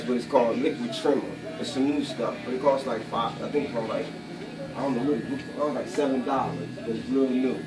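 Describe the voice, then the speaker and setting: A man making a casual sales pitch, slangy and repeating himself. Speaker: salesman of barber supplies. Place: barbershop, indoors. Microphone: camera a few steps away. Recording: roomy, distant mic, chatter around.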